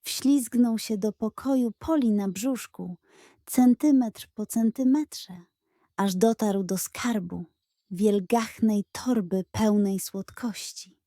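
A woman narrating a bedtime story for children in a gentle, expressive way, close to the mic. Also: medium pitch, takes it slowly, dry recording.

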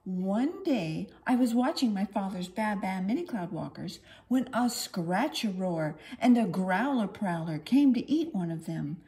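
A woman's voice: mid-range voice, lively intonation, a little slow, few pauses, mellow. A woman voicing a brave, trusting little shepherd boy in a storybook, declaiming earnestly. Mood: confident, earnest.

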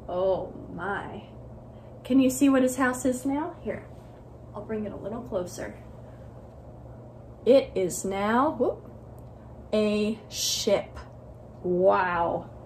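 A young woman tells a children's story at home in a slightly high voice that swings widely in pitch. She is deliberate and expressive, and the room recording carries faint noise. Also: poor audio. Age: young adult. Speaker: library storyteller. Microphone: camera a few feet away.

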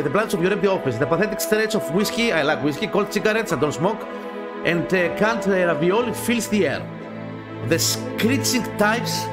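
A man in his thirties with a Greek accent, a native Greek speaker, hosting a casual let's play and reading game text aloud haltingly with asides. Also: sits high. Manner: halting reading, often repeating phrases, swearing in asides. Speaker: gaming channel host. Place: small dim home gaming room. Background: game music.

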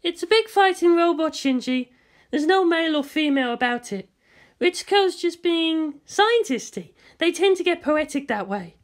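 A narrator voicing an excitable, pleading woman military officer in an audiobook-style story. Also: very high voice, moderate pace, voice pushed up. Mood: flippant. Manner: exclaiming, pleading.

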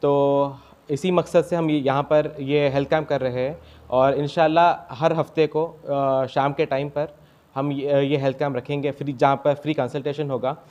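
A young man, a doctor answering interview questions haltingly, with broken starts and English medical terms. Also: fairly high pitch, little pitch movement, darkish tone. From India. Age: young adult. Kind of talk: interview answers at a health camp. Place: small clinic room, indoors. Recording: dull lo-fi sound.

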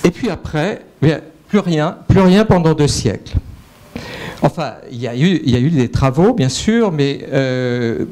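A man's voice: a little high, animated, measured pace, darkish tone. A middle-aged French man with a French accent giving a mathematics lecture at a conference, speaking into a handheld microphone with frequent fillers and restarts. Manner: hesitant, many fillers and restarts. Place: lecture hall with projector screen.

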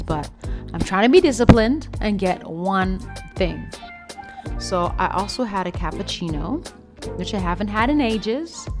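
A young woman, giving a chatty, playful and expressive casual vlog voice-over. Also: fairly low pitch. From Canada. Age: young adult. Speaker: vlogger, food reviewer. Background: background music.

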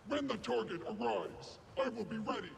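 A man voicing an arrogant, ruthless combat droid in a video game, clipped and deadpan through robotic processing. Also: sits high, moderate pace, background noise, heavily acted. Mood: deadpan menace. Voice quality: gravelly, mechanical.

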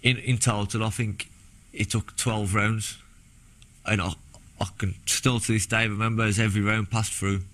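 A young British man, a former soldier, recounting his experiences in a halting, plain-spoken way in a documentary interview. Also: fairly low pitch, little pitch movement, fairly bright tone. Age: young adult. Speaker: former soldier giving testimony. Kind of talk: documentary interview, personal recollection. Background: background music.

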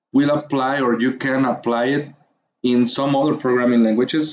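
A man with a Mexican Spanish accent narrates a beginner's screen tutorial in a mid-range voice, stopping often with fillers as he searches for English words. His tone stays encouraging and patient. The recording sounds a little muffled, with a faint hiss underneath. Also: medium pitch. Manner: hesitant, many fillers and pauses while finding words. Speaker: course instructor.

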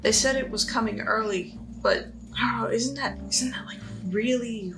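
A woman playing a blunt, wary female traveler who talks in a halting, informal way. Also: a little high, music in the background, voice pushed up, close to an everyday voice, low-quality recording. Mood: uneasy. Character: blunt, wary, hungry female ship passenger.

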